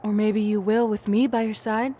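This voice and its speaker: A woman's voice: medium pitch, flat delivery, moderate pace, dark tone, slight background noise, natural voice. An actress playing a determined, loyal young woman wrestler, speaking in an earnest, assertive way. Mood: resolute. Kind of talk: scripted game cutscene dialogue.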